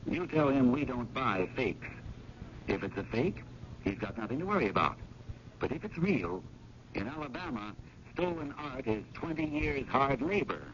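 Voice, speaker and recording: Fussy, harassed curator, creaky and swooping delivery, vintage radio drama on a muffled, hissy broadcast. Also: male voice, mid-range voice, big pitch swings, normal speed, fairly bright tone. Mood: dry, wary. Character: harried, fussy male museum curator, dry with callers. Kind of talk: 1970s radio drama acting. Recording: noisy, dull old radio broadcast.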